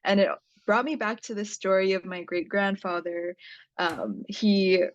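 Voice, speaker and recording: A woman's voice: medium pitch, steady tone, a little slow. A young woman from Hawaii telling personal stories conversationally as a podcast guest, on a slightly dull recording. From Hawaii, United States. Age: young adult. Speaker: podcast guest, climate impact producer. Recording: roomy, slightly dull lo-fi sound.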